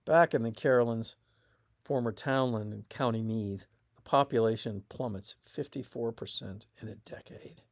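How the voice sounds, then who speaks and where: Male author, mid-pitched voice, scripted historical narration, measured delivery, emphatic starts, falling phrase endings. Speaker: author reading own essay.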